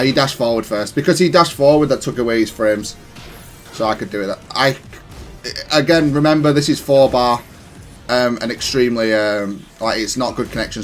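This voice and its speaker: A man giving casual, analytical commentary on his own gaming match, explaining his decisions with some self-correction. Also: mid-range voice. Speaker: gamer reviewing own match. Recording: music playing behind the voice. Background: music.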